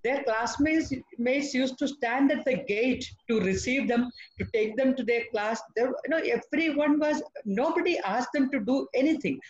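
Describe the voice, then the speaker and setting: A woman's voice: high-pitched, normal speed, hardly any pauses. An older woman, a former school principal speaking hesitantly with many fillers on a video call. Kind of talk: panel discussion remarks. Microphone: laptop webcam on video call. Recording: muffled video call audio.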